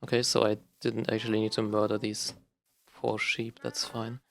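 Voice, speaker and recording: Man, German accent, low voice, flat delivery, halting, lots of fillers. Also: creaky. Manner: rambling, halting, with many fillers and restarts. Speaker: gaming vlogger. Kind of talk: casual unscripted talk while playing a game.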